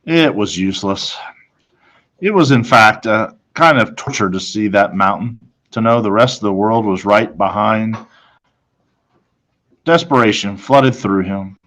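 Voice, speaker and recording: A man with a fairly low voice reads a children's novel aloud. His delivery is expressive and dramatic, with deliberate phrasing suited to storytelling. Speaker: story reader, teacher.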